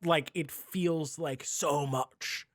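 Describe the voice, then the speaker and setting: A man hosting a casual podcast, talking in an animated, rambling way and swearing freely. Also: a little high, drawn-out words, no room sound, clean studio sound. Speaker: podcast host.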